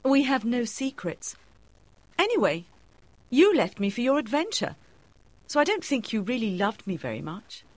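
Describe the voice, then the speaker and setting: A young wife in a storybook, put on by a male narrator. Her voice is high and very lively in pitch, yet she is cool, frank and matter-of-fact. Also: normal speed, pauses often, dry recording, exaggerated voice. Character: cool, frank young married woman, former sweetheart. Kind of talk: audiobook character voice.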